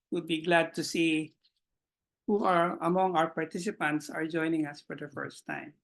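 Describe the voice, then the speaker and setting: Bright-voiced man hosting a webinar. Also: high voice. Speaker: webinar host. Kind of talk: welcoming introduction to an online webinar. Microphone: video call.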